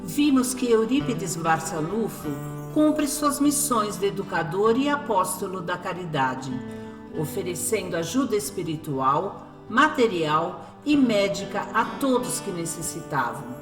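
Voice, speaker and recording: A woman's voice: medium pitch, sing-song, a little slow, roomy sound, music in the background. A woman with a Brazilian accent reading scripted narration for a radio drama.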